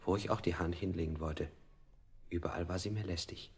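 Husky, creaky-voiced male prisoner. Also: low-pitched, steady tone, a little fast, no room sound. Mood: reflective. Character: bound, interrogated male prisoner recounting his ordeal. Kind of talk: 1960s German radio play acting, literary monologue.

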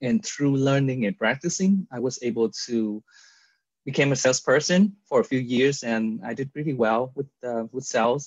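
A man's voice: medium pitch, no echo. A man from Vietnam, speaking with a Vietnamese accent, tells his personal story as a guest in an interview, earnest, with many fillers and restarts over a video call. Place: indoor room with virtual background. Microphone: video call webcam. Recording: dull lo-fi video call audio.

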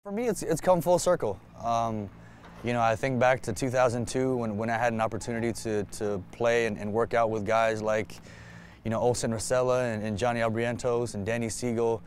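A man's voice: mid-range voice, fairly even intonation, fairly quick, slight background noise. A man, giving a reflective sit-down interview as a basketball player and often repeating words as he restarts. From United States. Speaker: national team player. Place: indoor arena seats.

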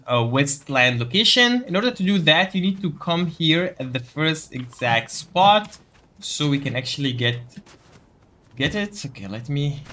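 A man with a fairly high, bright voice explains game quests in a casual walkthrough. He talks directly to his viewers, with faint noise behind him. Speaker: gaming video creator giving a quest guide. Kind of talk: casual gameplay tutorial commentary.

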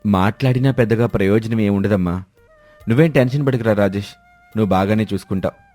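A man voicing a dutiful, protective elder son who speaks respectfully and earnestly in an animated moral story. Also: on the low side, quick pace, soft background music, lightly acted. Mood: reassuring.

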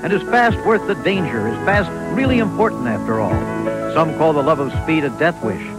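A man narrating a sports television feature from a script, with measured, dramatic storytelling. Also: a little high, sing-song, loud music behind the voice. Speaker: sports documentary narrator and host.

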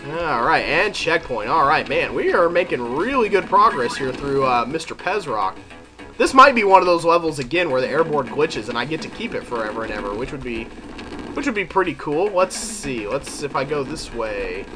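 A man with a head cold, sounding congested, chatting and thinking aloud as he commentates a video game. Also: on the high side. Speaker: let's play commentator. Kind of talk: casual gameplay commentary. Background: game music.

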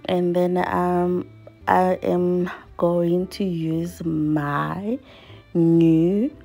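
Slow-spoken woman chatting in her kitchen. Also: lowish voice, little pitch movement, warm tone. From South Africa. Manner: earnest, reflective, speaks while washing dishes. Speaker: vlogger. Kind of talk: casual chat to viewers while doing chores. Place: home kitchen, indoors.

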